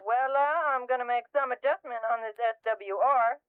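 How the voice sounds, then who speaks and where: A woman's voice: fairly high pitch, normal speed, a little hiss or hum. A woman playing a friendly base-station hobbyist, chatting in CB slang through a radio filter. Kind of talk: scripted CB radio role-play. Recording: tinny band-limited CB radio.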